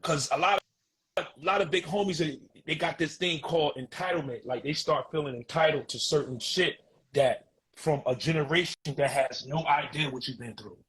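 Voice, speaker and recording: A man's voice: a little high, normal speed, a bit bright, slightly rough, rough recording. A man in his forties talking casually and emphatically on a podcast, repeating phrases and using street slang. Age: 40s. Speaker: guest.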